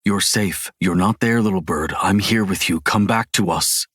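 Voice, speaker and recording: A woman voicing a tender, protective young man who soothes his partner in a soft, coaxing way. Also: extremely low pitch, normal speed, slightly rough, no room sound, clean studio sound, voice pushed down. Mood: soothing.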